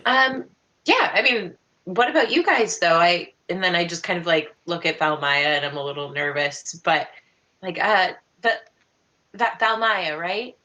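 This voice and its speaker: A young woman roleplays over a video call. Her voice is bright and a little low for a woman, and she speaks haltingly, circling back and repeating herself. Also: lo-fi sound. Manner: halting, repeats words, rambling. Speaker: tabletop game player. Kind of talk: improvised in-character roleplay over online call. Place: home room on a video call.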